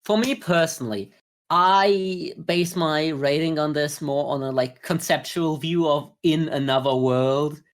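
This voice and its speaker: A man co-hosting a podcast, talking through his views in a measured, explanatory way in a casual review discussion. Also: fairly high pitch. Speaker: podcast co-host.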